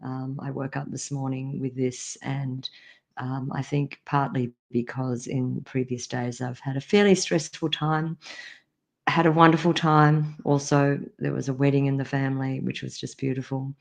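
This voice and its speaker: An Australian woman opens a live stream in a hoarse, croaky voice worn down by a cold. Her pitch stays flat and level, and she speaks softly, pausing on ums. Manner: soft, halting, with many ums. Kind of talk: casual live stream opening.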